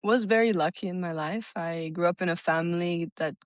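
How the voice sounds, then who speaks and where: Reflective young woman, low and dry. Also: a little fast, dry recording. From Italy and the United States. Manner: open, reflective storytelling. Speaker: podcast guest. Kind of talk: conversational interview.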